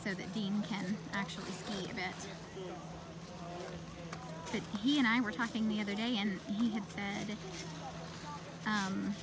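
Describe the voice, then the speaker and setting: A woman's voice: mid-range voice, steady tone, measured pace, pauses often, heavy background noise. A woman in her thirties, a mother filming her family, chatting in a rambling way and laughing often. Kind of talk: casual narration while filming. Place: outdoor ski slope at night. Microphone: phone camera held by the speaker.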